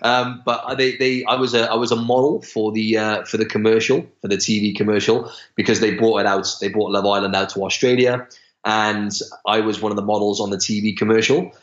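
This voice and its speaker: A young man chats as a podcast guest in a fairly low voice, stumbling through restarts and fillers. His voice rings around an echoey room, and the recording sounds a little dull. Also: flat delivery, a little fast. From Wales. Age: young adult. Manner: chatty, many restarts and fillers. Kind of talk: casual interview chat.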